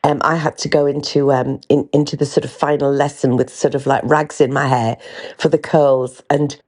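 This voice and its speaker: A woman's voice: a bass voice, little pitch movement, moderate pace, darkish tone, slightly rough. An older woman from North East England with a faint Geordie accent, hosting a podcast and chatting candidly with frequent ums and restarts. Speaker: podcast host. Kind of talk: candid casual storytelling.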